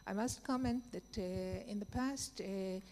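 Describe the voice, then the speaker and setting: Woman panelist, unhurried, careful answers, long hall reverb. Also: mid-range voice, darkish tone. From Israel. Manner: measured, pausing often with fillers. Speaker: panel speaker.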